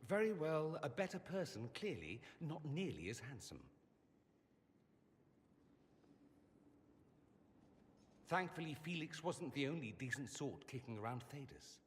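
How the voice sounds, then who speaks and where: A witty, self-admiring mage for a fantasy video game. His speech is arch and sardonic, with lively swings of pitch. The voice rings in a long, reverberant hall. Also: moderate pace, hardly any pauses, slight background noise. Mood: wry, fond. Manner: theatrical, arch, sardonic delivery. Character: witty, vain mage from a fantasy empire, wryly self-aware. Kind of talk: dramatic video game dialogue.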